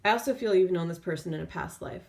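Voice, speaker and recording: Woman giving an unscripted tarot reading, lowish voice. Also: fast talker, gravelly, darkish tone. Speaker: tarot reader. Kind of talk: intuitive tarot reading, spoken off the cuff.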